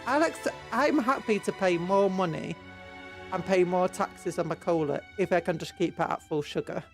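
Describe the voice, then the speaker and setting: A woman's voice: on the low side, moderate pace, faint music behind. A British woman streamer with a cold, blocked nose and sore throat, rambling in casual chat with her viewers. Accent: British English. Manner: rambling, chatty, reads and answers chat.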